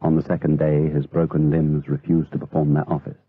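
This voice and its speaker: An actor narrating a gothic tale gravely, as scripted audio drama narration. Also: male voice, rumbling low, level tone, brisk, no room sound. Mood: grave, somber. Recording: lo-fi band-limited audio, very loud noise.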